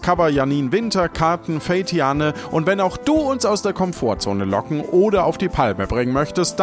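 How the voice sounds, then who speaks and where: A man hosting a podcast, speaking casually and unscripted, sometimes halting and repeating words. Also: on the high side. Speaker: podcast host. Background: background music.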